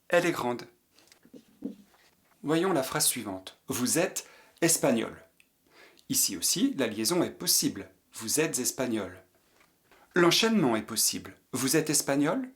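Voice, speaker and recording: A man teaching a language lesson, patiently repeating each example sentence for learners to copy. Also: mid-range voice. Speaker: French teacher. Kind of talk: slow French lesson for learners.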